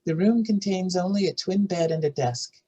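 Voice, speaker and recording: A woman with a husky voice reads scripted narration and stage directions for a drama table read. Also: lowish voice, moderate pace, dark tone, dull audio.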